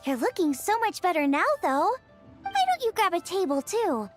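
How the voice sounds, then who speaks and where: Cheerful young waitress-cook for a video game, extremely high and expressive, reassuring, music clearly underneath. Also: female voice, normal speed, full character voice. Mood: upbeat, friendly. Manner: bubbly, chatty, reassuring. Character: cheerful, confident young girl chef and waitress. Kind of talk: English video game voice acting.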